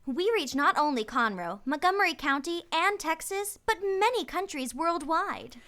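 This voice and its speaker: Bright, high-pitched woman announcer. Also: expressive, normal speed. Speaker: advert announcer. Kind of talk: upbeat scripted radio promo.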